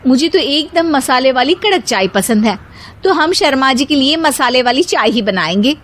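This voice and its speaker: Woman acting a high-pitched, assertive eldest daughter-in-law. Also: animated, moderate pace, a bit bright. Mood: insistent. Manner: assertive, complaining. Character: self-important, argumentative eldest daughter-in-law.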